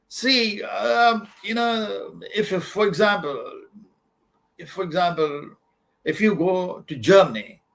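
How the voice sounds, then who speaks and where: A man's voice: a little high, fairly bright tone. A man acting as a study-abroad advisor, answering student questions in an informal, emphatic way, repeating points and calling listeners "man". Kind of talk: informal Q&A advice talk.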